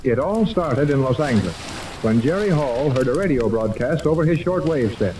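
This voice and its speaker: A man's voice: mid-range voice, lively intonation, moderate pace, natural voice. A man narrating a scripted 1930s radio adventure serial as its storytelling announcer. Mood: dramatic, measured. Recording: distant, noisy old 1930s radio recording.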